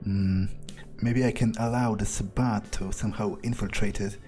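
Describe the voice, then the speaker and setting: A man's voice: fairly low pitch, fairly even intonation, normal speed, a little mellow, faint music behind. A man roleplaying through a video game, thinking aloud in a hesitant, sardonic, sometimes profane way. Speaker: game player roleplaying a character.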